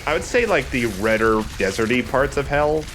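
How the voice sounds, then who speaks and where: A man hosting a let's play, giving casual, expressive commentary as he plays a shooter. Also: medium pitch, moderate pace. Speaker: let's play host, playing a shooter game. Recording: noticeable background noise.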